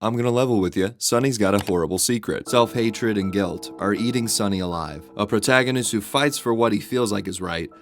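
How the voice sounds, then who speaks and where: A man's voice: on the low side, fairly quick, few pauses. A man reading a reflective, confessional personal video essay as a scripted narration. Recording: guitar music behind the voice. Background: guitar music.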